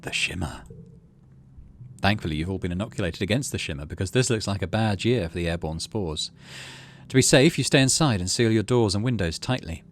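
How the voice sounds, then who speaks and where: A man's voice: fairly low pitch, expressive, brisk. A man doing let's play commentary, reading story text aloud and muttering through his decisions as he thinks aloud. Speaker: game streamer, let's play host.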